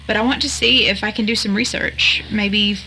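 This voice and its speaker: A woman's voice: medium pitch, steady tone, moderate pace, pushed and bright, faint music behind, rough recording. A woman playing a curious, tech-savvy young computer sleuth in an audio drama, explaining things conversationally. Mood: focused, explanatory.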